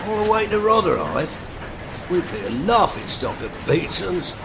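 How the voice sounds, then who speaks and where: A man in an audio play acting as a brisk, practical tugboat skipper, an old hand who talks bluntly. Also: sits high, very animated, vocal fry, background noise, full character voice. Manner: blunt, colloquial.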